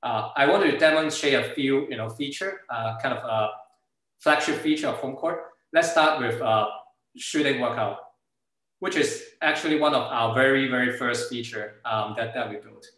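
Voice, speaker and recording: Echoey Hong Kong man with bright voice. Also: mid-range voice, normal speed. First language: Cantonese. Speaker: startup co-founder giving a presentation. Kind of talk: informal slide presentation with product demo. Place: indoor room, on a video call. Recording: very echoey room over video call.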